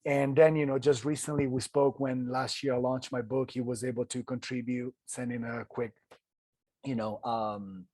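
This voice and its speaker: A man from Italy with an Italian accent, telling his story as a podcast guest in an earnest, halting way full of restarts. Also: medium pitch, flat delivery, a little mellow, no echo. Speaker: guest, ultrarunner. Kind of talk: casual interview storytelling.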